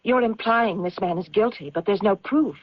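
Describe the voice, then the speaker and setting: A woman's voice: mid-range voice, normal speed, no echo, close to an everyday voice. An actress playing a sensible, cautioning woman confidante in an old-time radio drama. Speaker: actor in a radio mystery drama. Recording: dull lo-fi radio recording.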